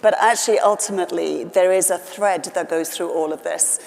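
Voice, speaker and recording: Middle-aged woman, low and reflective. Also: fairly even intonation, fairly quick, vocal fry, darkish tone. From West Wales. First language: Welsh. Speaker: award honoree. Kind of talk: on-stage conversation. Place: large conference hall. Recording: reverberant conference hall.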